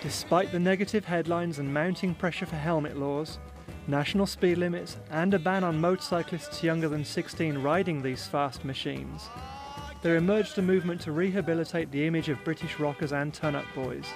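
Guy narrating a documentary, bit high voice, calm steady read, music going in the background. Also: moderate pace. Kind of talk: scripted narration. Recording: music bed playing underneath.

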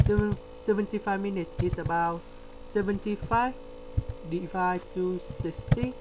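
A man with a delivery, explaining a word problem step by step in a screen-recorded lesson. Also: high-pitched. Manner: slow, step-by-step explaining with pauses. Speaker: tutor. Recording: steady electrical hum behind.

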